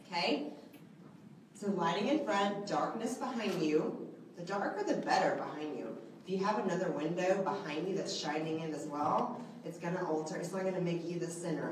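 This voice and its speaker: A woman with a soft, slightly low voice teaches a small group in a very echoey room, her voice picked up from a distance on a handheld mic. She keeps it casual and chatty, checking in with listeners often, and faint room noise sits underneath. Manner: chatty, asks the group questions, many okays and rights. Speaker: trainer teaching a selfie workshop. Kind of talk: live instructional talk to a group. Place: indoor room with a large window, small audience present. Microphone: handheld microphone.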